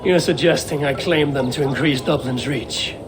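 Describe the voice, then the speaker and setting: An actor playing a composed, purposeful Viking warrior hero in a video game, speaking in a measured, direct way. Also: male voice, mid-range voice, background noise, natural voice. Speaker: game protagonist. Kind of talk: video game cutscene acting.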